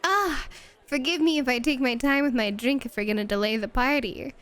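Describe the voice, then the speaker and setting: A woman's voice: sits high, brisk, fairly bright tone, slight background noise. A woman playing a flirty, teasing, self-assured club hostess in an ASMR roleplay. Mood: relaxed, gracious.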